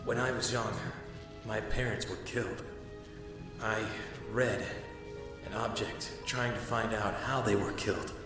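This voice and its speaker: A man playing a brooding, troubled young Jedi in a comic dub, speaking in a halting way. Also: lowish voice, fairly even intonation, far from the mic. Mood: haunted, confessional. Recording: cavernous reverb, music underneath.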